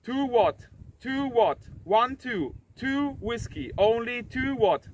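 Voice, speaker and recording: High-pitched man outdoors, off-mic in noise. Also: expressive, takes it slowly, distant mic, dull audio. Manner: repeats short phrases clearly for radio. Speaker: amateur radio operator presenting his antenna. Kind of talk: casual outdoor demo and radio calls. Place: outdoors on a windy farm road. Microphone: camera a few steps away.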